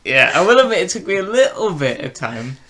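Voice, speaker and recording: A man's voice: sits high, sing-song, fairly quick, pushed and bright. A man co-hosting a casual review chat, hesitant as he thinks his opinions through aloud. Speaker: co-host of a review show.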